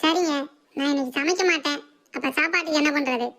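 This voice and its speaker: A woman voicing an assertive, sharp-tongued housewife and mother in a comic cartoon. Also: high voice, fast talker. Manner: bossy, challenging, sarcastic.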